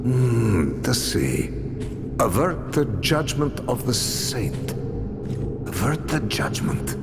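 An actor playing a pious middle-aged priest in a video game, grave in manner. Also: male voice, mid-range voice, big pitch swings, slow pace, creaky, loud music behind the voice, exaggerated voice. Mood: solemn, uneasy. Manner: grave, drops articles. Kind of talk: video game cutscene acting.

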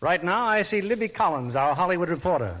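Higher-voiced male 1940s radio announcer. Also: lively intonation, fast, fairly bright tone, poor audio. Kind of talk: scripted 1940s radio presenting. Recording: hissy old 1940s radio broadcast.

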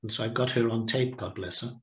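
A man in his sixties giving a reflective seminar talk over a video call from home, pausing and restarting as he thinks. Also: mid-range voice, fairly even intonation. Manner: reflective, with many pauses and restarts. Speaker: guest speaker. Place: home room, indoors. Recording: roomy echo on a video call.

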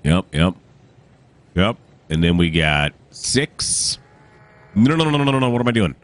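A man with a cold that has deepened his voice, thinking aloud in casual commentary while playing a train simulator. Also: very animated, measured pace. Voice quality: deep. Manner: thinks aloud, rambling, self-correcting. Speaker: gaming channel host.

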